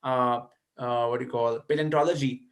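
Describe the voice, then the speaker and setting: A man's voice: medium pitch, fairly even intonation, moderate pace. A young man, a guest speaker on a video call, explaining ideas to an audience with frequent hesitant restarts. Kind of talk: lecture and answering audience questions.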